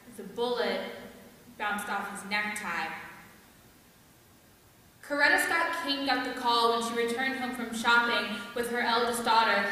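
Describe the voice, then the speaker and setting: A teenage American girl performing slam poetry with dramatic, deliberate, emphatic delivery. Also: fairly high pitch, bright, forceful tone. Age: teenager, high school sophomore. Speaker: student poet. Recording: very echoey hall, distant microphone.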